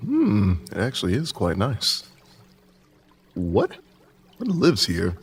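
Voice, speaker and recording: A man role-playing as a player in a tabletop game, speaking in a dry, measured, sometimes hesitant way. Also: fairly low pitch, wildly swooping pitch, slightly rough. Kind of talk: in-character tabletop role-play. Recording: slightly distant mic, faint room noise.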